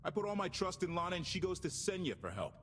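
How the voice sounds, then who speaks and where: An actor playing an earnest, wary rebel crew captain in a game, informal and emphatic. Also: male voice, high voice, very animated, fast talker, a bit bright, slight background noise. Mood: bitter, wary. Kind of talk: video game cutscene acting.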